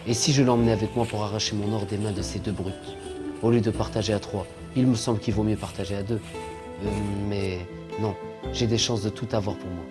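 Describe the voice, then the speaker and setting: A man's voice: lowish voice, steady tone, fairly quick, close to an everyday voice. An actor playing a greedy, cowardly, scheming man in a French-dubbed cartoon. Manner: placating and pleading aloud, conspiratorial when plotting alone. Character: greedy cowardly scheming male treasure finder. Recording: music under the voice.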